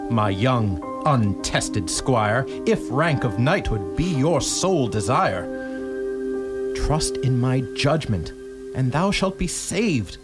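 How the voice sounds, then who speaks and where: A man's voice: mid-range voice, very animated, slow. A man playing a stern, suspicious medieval knight, declaiming archaic rhyming verse in a radio drama. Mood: stern warning. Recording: loud plucked guitar music behind. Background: guitar accompaniment.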